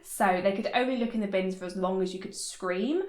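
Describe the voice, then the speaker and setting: A woman's voice: mid-range voice, fairly quick, darkish tone. A woman reviewing a TV episode in a casual chat, full of "like" and "um", sometimes laughing as she talks. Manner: chatty, lots of "like" and "um", laughs while talking. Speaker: TV review vlogger. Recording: echoey room.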